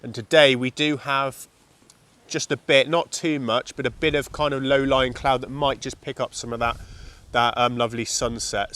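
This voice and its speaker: A man in his thirties with a croaky voice from the flu, chatting casually to a handheld camera outdoors on open moorland, rambling with lots of ums. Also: medium pitch. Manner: rambling, relaxed, many ums and kind ofs. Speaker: landscape photography vlogger. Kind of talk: casual vlog talk to camera. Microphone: camera held at arm's length.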